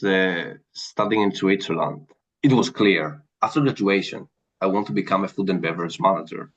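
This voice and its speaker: A man's voice: sits low, a bit bright. A man from Greece with a Greek accent, speaking earnestly as an interview guest about his career. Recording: dull lo-fi sound.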